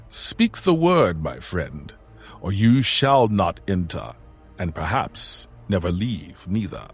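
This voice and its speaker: A man's voice: lowish voice, husky, full character voice. A man playing a grandiose uncle who guards a hidden realm, speaking floridly in an audio drama. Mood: menacing, grandiose. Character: theatrical, gruff-then-genial uncle guarding a hidden realm. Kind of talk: audio drama character acting.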